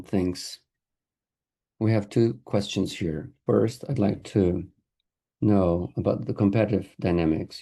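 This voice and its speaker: A man interpreting a corporate earnings call live, speaking haltingly in short phrases. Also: deep voice, creaky, dark tone. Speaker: conference interpreter.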